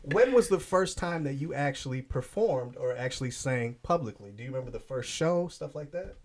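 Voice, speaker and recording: A man hosting a casual music interview, energetic and full of slang. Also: mid-range voice, fairly quick. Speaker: interview host.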